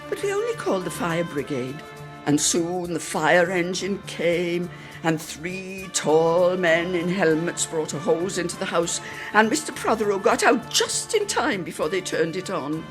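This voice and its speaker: A woman's voice: lowish voice, sing-song, a little slow, over music. A woman giving a theatrical, lyrical reading of a literary story, savouring each phrase. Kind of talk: theatrical scripted story narration.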